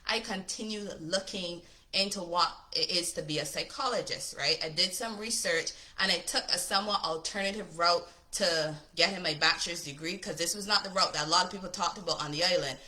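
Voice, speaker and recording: Upbeat bright-voiced woman from Barbados. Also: lowish voice, brisk. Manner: chatty, upbeat, says "right?" often. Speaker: business coach. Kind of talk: casual talk to camera.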